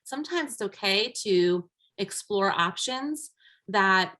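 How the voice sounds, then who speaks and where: A woman's voice: medium pitch, lively intonation, takes it slowly, bright, forceful tone. A middle-aged woman, a guest coach whose first language is Armenian, telling her career story in an earnest, reflective way in a casual interview. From United States, raised by immigrant parents.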